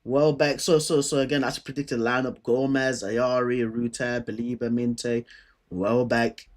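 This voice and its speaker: A man's voice: medium pitch, little pitch movement, unhurried. A man with a stammer chatting casually and unscripted as he hosts a football preview, using slang and repeating himself as he thinks aloud. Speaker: football fan host previewing fixtures.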